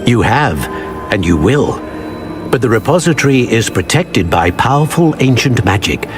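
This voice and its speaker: An actor playing a grave older wizard professor in a video game, solemn, measured and formal in his speech. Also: mid-range voice, sing-song, over music, close to an everyday voice. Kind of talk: dramatic video game acting.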